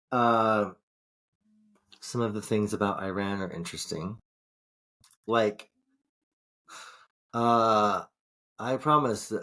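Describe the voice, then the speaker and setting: A man's voice: on the low side, fairly bright tone. A man co-hosting a podcast in casual chat, rambling and swearing, often restarting his sentences. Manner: rambling, profane, frequent restarts. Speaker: podcast co-host.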